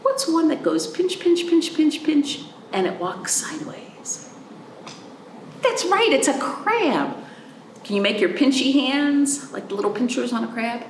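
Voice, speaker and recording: A woman hosting a children's storytime, reading aloud and chatting in a sing-song, animated way, addressing young listeners directly. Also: sits high, unhurried. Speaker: library storytime host. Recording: roomy, distant mic, faint background noise.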